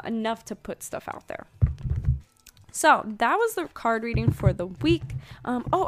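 A woman's voice: fairly high pitch, slight background noise. A young woman rambling through a weekly oracle card reading in a casual, chatty way, full of ums and asides. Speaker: spiritual podcaster.

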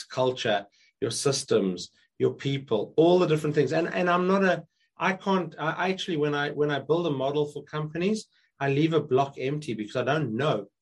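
A hoarse man with a croaky voice, a guest speaker on a video call, telling stories in casual conversation and often restarting his sentences. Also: mid-range voice. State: hoarse, recovering from illness. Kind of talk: casual interview chat.

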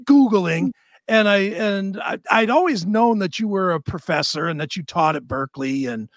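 A man hosting a casual interview, putting informal, wandering questions with emphatic pauses. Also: sits high, animated, no echo, studio quality. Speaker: interview host.

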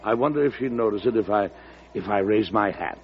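An actor playing a dignified, middle-aged bachelor president in an old-time radio drama, romantic and easily flustered, speaking in a courtly, hesitant way. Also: male voice, on the low side, animated, brisk, slight background noise, lightly acted. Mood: boyishly happy. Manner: courtly, hesitant, musing aloud. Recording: muffled vintage radio broadcast recording.

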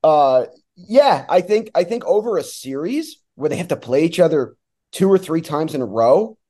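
Mellow-voiced man talking soccer. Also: a little high, animated, normal speed. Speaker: guest, radio play-by-play sports announcer. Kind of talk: casual sports talk, analysis.

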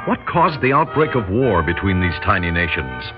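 A man's voice: deep voice, big pitch swings, normal speed, music in the background. Solemn male storyteller, portentous and rhetorical, widely swinging intonation, dull old radio drama recording. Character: grave, portentous male storyteller pondering war. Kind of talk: 1960s radio drama scripted narration.

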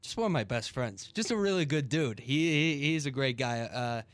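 A man's voice: mid-range voice, expressive, a little fast, no room sound. A man chatting casually as the producer on a sports radio show. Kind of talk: casual sports talk chat.

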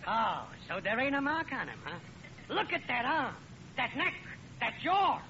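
An actor playing a stern, sarcastic working-class American lodge chairman in a 1940s radio comedy, accusing in rough grammar. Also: very high-pitched, very animated, a little slow, pushed and bright, full character voice. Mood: sarcastic, disgusted. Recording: muffled old radio broadcast with hiss.

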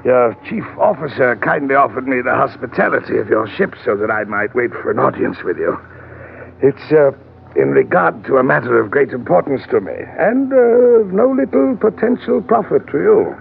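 An actor in an old radio drama playing a fat, pompous, eager gentleman treasure hunter who speaks in flowery, hesitant phrases. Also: mid-range voice, big pitch swings, normal speed, vocal fry. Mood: courtly, oily. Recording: noisy, tinny old radio recording.

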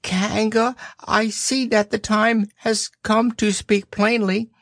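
A man's voice: sits high, little pitch movement, slow, fairly bright tone, no room sound, clean studio sound, voice pushed up, full character voice. A man voicing a small, timid, anxious piglet in a storybook, jerky and indignant in his protests. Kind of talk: storybook character reading.

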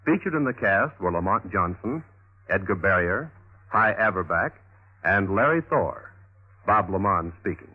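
Low, husky old-time radio announcer man. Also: lively intonation, unhurried. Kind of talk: 1950s radio announcing, scripted credits. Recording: tinny vintage radio recording.

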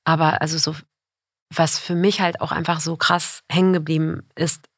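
A woman's voice: sits low, little pitch movement, normal speed, slightly rough, no room sound, clean studio sound. A woman reporter talking reflectively in a casual conversation, sometimes searching for words.

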